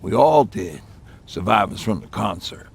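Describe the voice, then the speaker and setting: Male survivor for post-apocalyptic game: heavy fry, husky edge, reflective, halting storyteller. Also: lowish voice, expressive, exaggerated voice. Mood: somber, reflective. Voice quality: gravelly, husky. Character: weathered male post-apocalypse survivor recalling hard times. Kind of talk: naturalistic video game acting.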